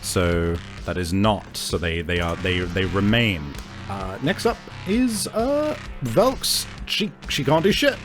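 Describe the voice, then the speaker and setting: A man acting as game master, improvising narration for a tabletop horror game in halting descriptions. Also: sits low, sing-song, faint music behind.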